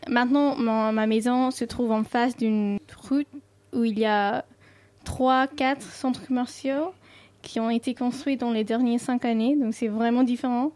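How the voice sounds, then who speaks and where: A woman's voice: a little high, steady tone, a little slow, a little mellow. A nineteen-year-old woman from India whose first language is Sindhi, answering interview questions hesitantly with many fillers. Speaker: guest.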